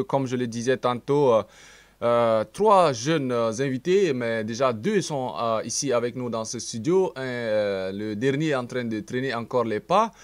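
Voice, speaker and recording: A man hosts an arts program from a broadcast studio. His voice sits at a middle pitch, and he speaks in a halting way, full of filler sounds and brief chuckles. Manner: hesitant, many filler pauses, chuckling. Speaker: radio and TV arts show host. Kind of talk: studio interview chat.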